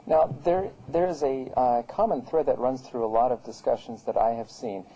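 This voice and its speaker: A man's voice: medium pitch, normal speed, dark tone, poor audio. A man hosting an interview, asking questions politely and hesitantly with many uhs. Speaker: host, interviewer.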